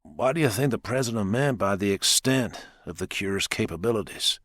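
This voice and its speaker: A man's voice: fairly low pitch, expressive, moderate pace, a bit bright, no room sound, clean studio sound. A man voicing a tense, brooding woman officer in a grave, subdued audiobook performance. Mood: strained, brooding. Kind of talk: audiobook character dialogue.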